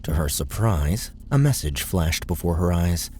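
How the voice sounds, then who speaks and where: A man's voice: sits low, very animated, a little slow, a little mellow, a little hiss or hum. A man narrating scripted fiction as an audiobook narrator.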